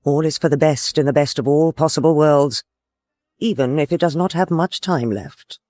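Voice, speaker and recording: An actor playing a serene, faintly ominous guidebook machine, formal and placid, in a radio comedy drama. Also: male voice, on the high side, normal speed, warm tone, no echo, close to an everyday voice.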